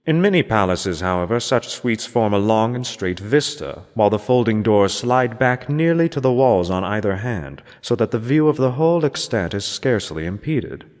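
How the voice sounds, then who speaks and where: A man with a very low voice narrates a classic gothic horror story in a measured, theatrical way. His formal delivery rings out in a long, cavernous reverb. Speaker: amateur reader of a horror story. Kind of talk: dramatic scripted narration of gothic literature.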